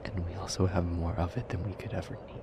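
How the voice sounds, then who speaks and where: A man playing a brooding, wounded demon lover in an intimate audio roleplay, speaking in a hushed, breathy, measured way. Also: sits low, level tone, background noise. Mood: brooding, weary.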